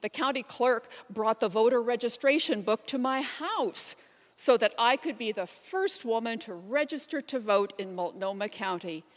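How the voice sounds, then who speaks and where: A woman's voice: fairly high pitch, animated, normal speed, close to an everyday voice. Woman playing a determined suffragist in a reverberant hall. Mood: proud, reflective. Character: elderly pioneer suffragist, determined and plain-spoken, recounting her life. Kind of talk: readers theater first-person monologue.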